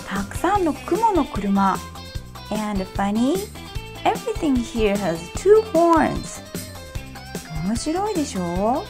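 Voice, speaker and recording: Lady reading a kids picture book, high voice, takes it slow and clear. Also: very animated. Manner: gentle, clear, slow storytelling. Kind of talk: bilingual storybook read-aloud. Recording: faint music behind.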